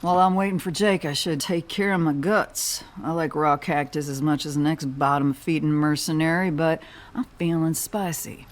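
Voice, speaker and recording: A woman's voice: low voice, steady tone, moderate pace, close to an everyday voice. A woman voicing a wry, self-reliant cowgirl bounty hunter in a video game, folksy and laid-back. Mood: relaxed musing. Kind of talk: scripted video game dialogue.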